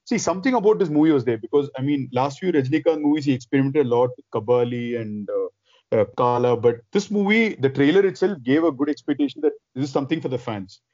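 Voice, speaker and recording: Excited man, loud and mellow. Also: mid-range voice, dry recording, lo-fi sound. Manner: excited, fast and rambling with many restarts. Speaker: podcast host. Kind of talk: casual chat.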